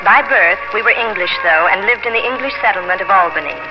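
A woman's voice: medium pitch, animated, quick pace, lightly acted. A young colonial heroine, played by a woman speaks with earnest, dramatic feeling and careful diction. She sounds like old radio drama, in a muffled recording with prominent music. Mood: wistful, somber. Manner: earnest, dramatic, clearly enunciated. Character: spirited, grieving young English colonial daughter. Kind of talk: 1950s radio drama acting with first-person narration.

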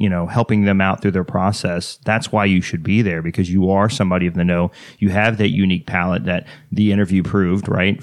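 A lowish, dryly recorded male voice with a light Texas accent. He hosts a casual interview, rambling through long questions and poking fun at himself as he goes. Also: a little mellow, no echo, studio quality. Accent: American, slight Texas. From Texas, United States. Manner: rambling, self-deprecating, jokey. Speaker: podcast host interviewing a guest.

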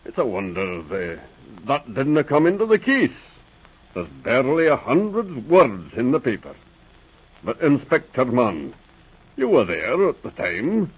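A man's voice: medium pitch, huge pitch swings, husky, highs cut off, exaggerated voice. An actor playing a canny, musing Scottish man in a radio drama, with a Scottish accent. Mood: curious, amused. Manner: slow, musing, sly. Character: canny, chuckling Scottish friend of the inspector. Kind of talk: 1940s radio drama acting.

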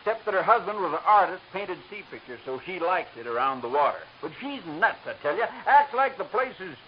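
An actor playing a gangly young small-town gas station attendant who cracks jokes and laughs at them, in 1940s radio acting. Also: male voice, high voice, sing-song, brisk. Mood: gossipy. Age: young adult. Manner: wisecracking, laughs at own jokes. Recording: hissy dull old radio broadcast.

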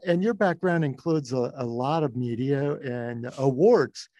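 A man's voice: mid-range voice, a little slow, warm tone, no echo. A man hosting an interview podcast, putting questions in a conversational, reflective way.

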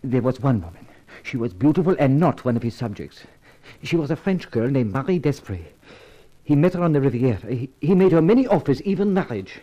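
An actor playing an anxious, courteous Frenchman, speaking gravely and hesitantly in a 1950s radio drama. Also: medium pitch, very animated, a little fast, warm tone, dull audio. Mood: grave, pleading. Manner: grave, hesitant, polite, earnest. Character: anxious, courteous Frenchman seeking his lost sister.

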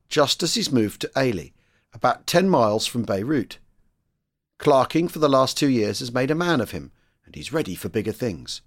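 A male narrator tells a scripted history story with a highly animated intonation. His pitch is average, and he lets his phrases trail off softly at their ends, keeping an expressive, measured delivery.

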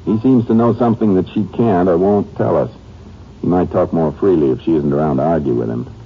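A deep-pitched Texas Ranger works a case with terse, steady authority. He stays calm and persistent, in the style of a radio crime drama. The old recording is thin and noisy. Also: fairly quick, narrow, tinny audio. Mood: probing, calm. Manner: terse, businesslike questioning.

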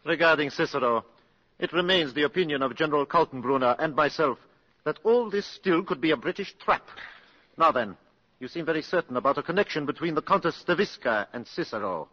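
Casting: formal, scheming enemy colonel, forceful and slightly high, on a dull old radio recording. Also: male voice, expressive, a little fast. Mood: cold suspicion. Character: suspicious, calculating male Nazi colonel posing as businessman. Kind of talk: 1950s radio drama acting. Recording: muffled, reverberant 1950s radio broadcast.

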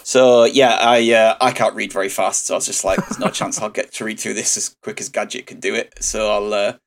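A man co-hosting a casual podcast, his lowish voice a bit croaky. He talks hesitantly, filling gaps with ums and uhs. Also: steady tone, a little fast. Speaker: podcast co-host. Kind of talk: casual chat.

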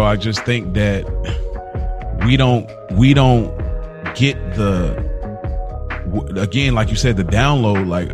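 A man co-hosting a casual podcast, talking informally with lots of slang and repeating phrases for emphasis. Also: fairly low pitch. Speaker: podcast co-host.

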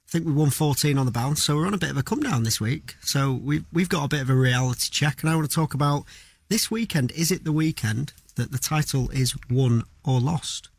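A man's voice: a little high, fairly quick, creaky, breathy edge, no echo. A man from North Manchester, co-hosting a football show in a laid-back way.

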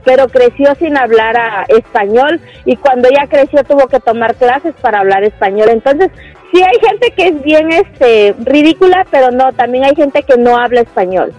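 A woman calling in to a radio show, calmly explaining her point. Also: high voice, moderate pace, soft background music. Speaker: caller. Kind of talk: phone-in comment. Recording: dull phone line on radio.

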